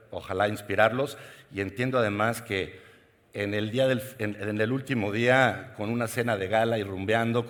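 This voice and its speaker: A man's voice: on the low side, a bit bright. A middle-aged man from Mexico with a Mexican Spanish accent, giving a personal conference keynote talk to a large audience. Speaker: keynote speaker. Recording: long hall reverb.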